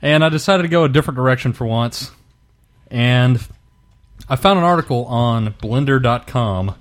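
A man's voice: mid-range voice, lively intonation, moderate pace. A man co-hosting a casual podcast and running his segment in an easygoing, self-deprecating way. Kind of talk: casual chat.

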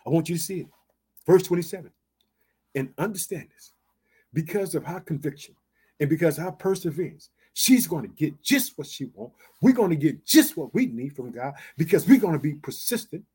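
A man's voice: fairly high pitch, expressive, a little fast, mellow, breathy edge. An American man preaching a fervent sermon in colloquial, folksy language, emphatic and repetitive, punctuating it with exclamations and direct appeals to his listeners.

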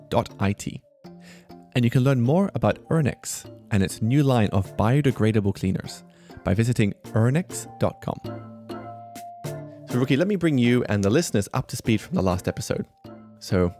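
A man hosting a podcast, his pitch rising and falling with animation as he chats and laughs. Faint music sits under his voice. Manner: lively, laughs often, reads sponsor spots. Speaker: podcast host. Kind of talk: conversational podcast interview.

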